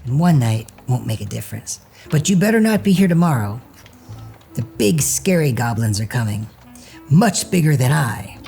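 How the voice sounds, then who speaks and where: A man voicing a small, boastful, easily frightened goblin with a squeaky voice in a storybook reading. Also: very animated, normal speed, gravelly, a little mellow, faint music behind. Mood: scared, warning. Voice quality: squeaky, high falsetto. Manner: defiant, whining.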